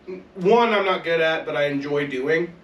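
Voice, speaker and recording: A man's voice: a little high, moderate pace, highs cut off. A young man co-hosting a casual gaming podcast, joking, swearing freely and tripping over his words. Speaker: podcast co-host. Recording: roomy echo, distant mic, faint noise.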